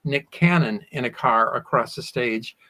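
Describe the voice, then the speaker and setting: A man's voice: mid-range voice, unhurried, pushed and bright. A man talking at length as an interview guest, telling personal anecdotes and often acting out his own past words. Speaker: guest, sword swallower. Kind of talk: animated anecdotal interview storytelling.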